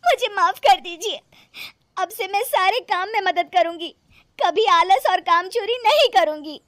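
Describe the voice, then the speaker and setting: A woman's voice: very high-pitched, a little slow, a bit bright, no room sound, clean studio sound, pitched above the performer's own voice. A woman voicing a lazy, brash young daughter-in-law in a cartoon moral story, complaining and dramatic. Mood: pleading.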